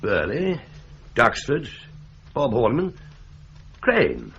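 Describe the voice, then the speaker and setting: An actor playing a wry, offhand young clerk at a solicitors' firm in a radio drama. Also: male voice, medium pitch, wildly swooping pitch, slow, fairly bright tone, dull audio, a little hiss or hum, heavily acted.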